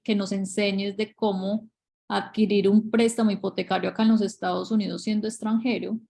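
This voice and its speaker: A woman's voice: mid-range voice, gravelly, a little mellow. A woman real estate agent co-hosting a webinar over a video call, searching for words and repeating herself.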